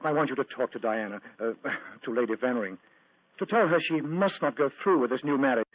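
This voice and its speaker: An actor playing an earnest, agitated reverend in a vintage radio drama, speaking solemnly and hesitantly. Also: male voice, mid-range voice, fairly quick, no echo, close to an everyday voice. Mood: pleading. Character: earnest, troubled clergyman, fervent and anxious. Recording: tinny, narrow-band old radio recording.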